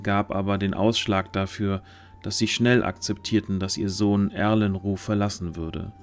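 A male narrator with a fairly low voice tells a scripted fantasy story. Faint music plays behind his reading. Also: a little mellow.

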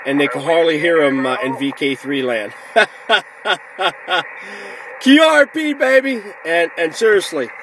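A man's voice: fairly high pitch, expressive, a little slow, a bit bright, slight background noise, low-quality recording. A man operating amateur radio and casually narrating his video, recorded on a handheld camera inside a car. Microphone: handheld camera or phone.

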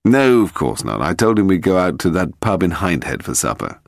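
A gentleman detective in an audiobook mystery, acted by a male reader. He is calm and cheerful, explaining with wry ease. The melody is lively and the sound close and dry. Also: low-pitched, normal speed, vocal fry, dry recording, heavily acted.

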